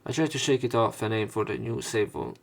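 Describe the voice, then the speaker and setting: A man's voice: fairly low pitch, sing-song, quick pace, creaky. A man doing a let's play, reading a visual novel's narration and dialogue aloud with informal, sometimes sweary asides. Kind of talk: narrated reading with offhand commentary.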